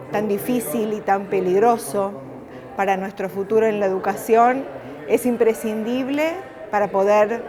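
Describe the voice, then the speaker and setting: A woman with an Argentine accent speaking unscripted as an academic, often halting and repeating words as she searches for phrasing. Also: medium pitch. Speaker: university academic. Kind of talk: unscripted spoken reflection on camera.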